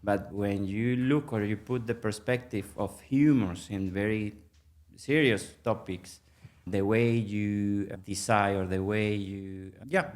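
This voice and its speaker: A middle-aged man from Venezuela, Spanish native, speaking English with a Venezuelan accent, halting and full of fillers in a casual interview. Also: fairly low pitch. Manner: halting, many fillers and restarts. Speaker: guest, mergers and acquisitions manager.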